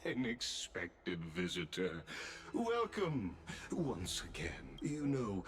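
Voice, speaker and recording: An actor playing a serene, courteous guardian of a sacred peak in a video game, speaking in a measured, formal, welcoming way. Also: male voice, low voice, wildly swooping pitch, full character voice. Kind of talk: video game dramatic voice acting. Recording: music behind the voice.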